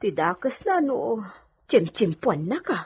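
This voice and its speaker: A woman's voice: mid-range voice, very animated, measured pace, husky, exaggerated voice. A woman playing an outspoken, protective maidservant in a radio drama, speaking with an Ilocano accent. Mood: concerned.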